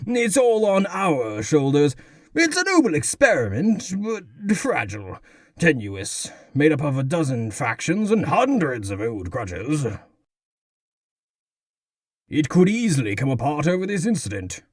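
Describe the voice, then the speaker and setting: A man's voice: on the high side, sing-song, measured pace, dry recording, studio quality. A man playing a pompous, theatrical mayor in a comic dub, with a grandiose, condescending manner. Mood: earnest, pressing. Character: pompous, theatrical male mayor of a tiny exiled community. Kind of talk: comic dub character acting.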